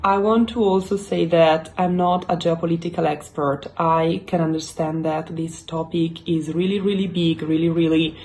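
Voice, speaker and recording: A young woman from Italy with an Italian accent hosts her channel and explains the news in earnest, halting English full of fillers. English is not her first language. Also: lowish voice, steady tone, a little mellow, slight background noise. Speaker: YouTube channel host. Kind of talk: casual explainer talk to camera.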